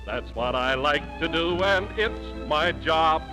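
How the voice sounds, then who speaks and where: A man's voice: on the high side, slow pace, music in the background. A man, a radio announcer, singing a comic advertising jingle on an old-time broadcast. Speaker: show announcer. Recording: old radio recording with band.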